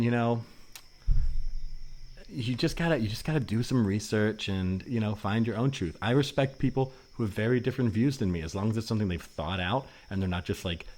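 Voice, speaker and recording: Guy doing a low voiced ex-anarchist dude, suspicious and earnest, kinda halting, improv comedy podcast. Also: darkish tone, lightly acted. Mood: suspicious, preachy. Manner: halting, rambling, earnest explaining. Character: rambling male conspiracy-minded former anarchist, earnest and suspicious.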